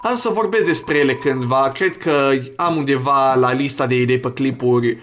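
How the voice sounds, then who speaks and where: A man's voice: medium pitch, a bit bright, a little hiss or hum. A man hosting a gaming video, chatting casually in a crude, profane, rambling way. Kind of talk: casual let's play commentary.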